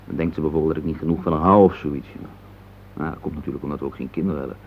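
An actor playing a busy, dismissive and placating businessman husband in a radio play, with a brisk, patronising manner. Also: very deep voice, steady tone, slight background noise. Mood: affable, businesslike. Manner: brisk, patronising, full of tag questions. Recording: dull lo-fi radio play recording.

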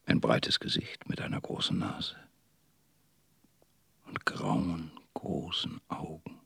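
Secretive surveillance man, extremely husky and gravelly, slow terse delivery, radio drama. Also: rumbling low, very animated, dry recording, in a whisper, full character voice. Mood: hushed, watchful. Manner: terse, halting, hushed.

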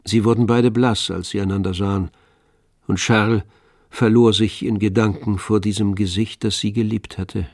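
A man narrating a tragic novel for a radio play in a measured, literary manner. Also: fairly low pitch, steady tone, no room sound. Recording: dry studio with faint music.

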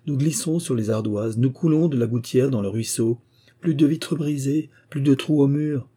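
A man's voice: mid-range voice, moderate pace, mellow, breathy edge, voice pushed up, close to an everyday voice. A man voicing tiny, plaintive raindrop spirits reproaching someone in a storybook tale. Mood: plaintive, reproachful. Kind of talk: storybook character voice.

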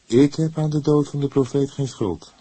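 A man's voice: mid-range voice, normal speed, mellow, slightly rough, no echo, voice pushed up, natural voice, poor audio. A man voicing an earnest, defensive young man under questioning, speaking emphatically in acted audiobook dialogue. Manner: emphatic, flaring into defiance.

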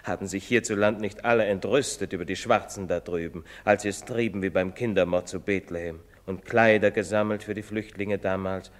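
An actor playing a paternal, reproving village priest in a German stage drama, speaking in a measured, moralising manner. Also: male voice, sits low, fairly even intonation, close to an everyday voice. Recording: echoey theatre-style hall reverb.

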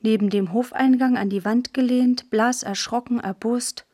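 A matter-of-fact girl narrator in a radio play, played by a woman. Her voice sits fairly high and close in a dry studio, and she speaks in short, observant sentences. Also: fairly even intonation, normal speed, dark tone, no echo, lightly acted. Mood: sober, observant. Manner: short, clipped declarative sentences.

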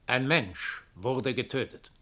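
Implacable killer double for radio drama; mid-pitched, measured, solemn and formal. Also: male voice, sharp tone. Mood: calm, implacable. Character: calm, implacable doppelgänger, a confessed killer bringing a death sentence.

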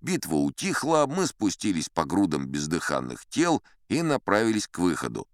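A man narrating a scripted adventure tale in the first person, with theatrical, varied delivery and dramatic pauses. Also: deep voice, wildly swooping pitch, dry recording, clean studio sound. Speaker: audiobook narrator.

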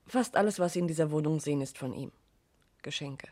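Middle-aged woman in mourning, radio crime drama: halting, bitter, blaming herself. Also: sits low, a little mellow. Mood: bitter sorrow. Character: grieving middle-aged woman questioned about her dead lover.

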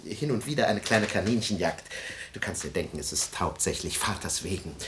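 Pompous dreamer father, theatrical, widely swinging pitch, radio play, roomy sound. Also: lively intonation, brisk, noisy background. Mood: excited. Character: self-important excitable family father, dreamer with grand plans. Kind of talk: German radio drama acting.

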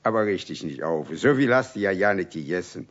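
A man's voice: deep voice, expressive, fairly quick. A man playing a kindly, slightly bumbling craftsman in a children's radio play, good-natured and reassuring with a faltering delivery. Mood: patient, amused. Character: kindly, placating, bumbling craftsman uncle figure. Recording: dull, lo-fi old radio recording.

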